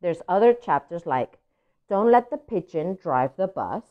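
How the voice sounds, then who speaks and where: A woman's voice: on the low side, sing-song, measured pace. A woman, a native Spanish speaker, playfully and expressively reading a picture book aloud to children. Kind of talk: animated read-aloud storytelling. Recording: dull lo-fi audio.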